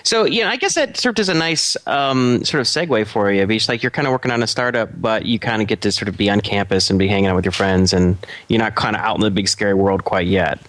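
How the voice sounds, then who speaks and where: A man's voice: fairly low pitch, fast, few pauses, a bit bright, dry recording. A man from Atlanta hosting a podcast interview, chatty with friendly asides and plenty of fillers. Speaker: podcast host. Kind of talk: casual interview chat.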